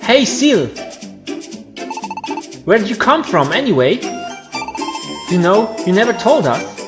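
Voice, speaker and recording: A man's voice: high-pitched, very animated, slow, over music, voice pushed up, heavily acted. A man voicing a brash, nosy young creature at school in a video game, eager and pushy. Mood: brash, curious.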